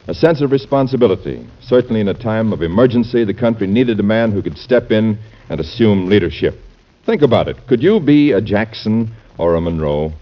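Deep, animated American radio announcer. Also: male voice, brisk. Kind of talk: vintage radio scripted narration. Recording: muffled vintage radio recording.

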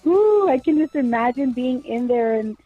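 A woman's voice: high voice, lively intonation, normal speed, dry recording, soft background music. Gushing woman on a phone line. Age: 40s. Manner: effusive, lots of "you know". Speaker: singer, guest. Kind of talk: phone-in interview.

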